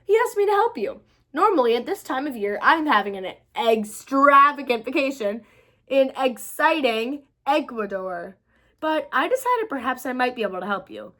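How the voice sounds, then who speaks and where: A put-upon storybook Easter Bunny acted by a woman, high and theatrical. He is fussy and pompous, stretching his words indignantly with big swings in pitch. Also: pushed and bright, exaggerated voice.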